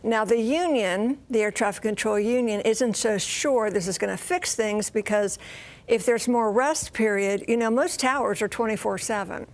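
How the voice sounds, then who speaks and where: A woman's voice: fairly high pitch, normal speed. An American woman working as a news correspondent, explaining a story live in an informal, explanatory way. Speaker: transportation correspondent.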